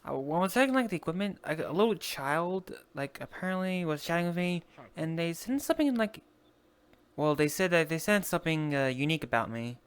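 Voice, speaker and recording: A roleplay player talking in character in an online game, halting and rambling as they explain things. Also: medium pitch, expressive, clear, resonant tone.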